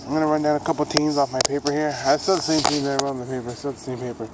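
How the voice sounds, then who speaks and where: Young male vlogger with a stuffy nasal voice, monotone rambling, recorded on a phone outside with heavy noise. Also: a little high, racing. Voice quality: nasal, congested. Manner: rambling, with restarts and fillers. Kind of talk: casual rambling baseball vlog. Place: outdoors near buildings. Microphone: phone camera held low.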